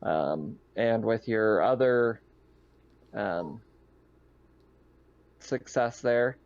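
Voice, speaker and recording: A man running a tabletop roleplaying game as game master, conversational and halting as he thinks aloud. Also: fairly low pitch, animated, darkish tone, highs cut off.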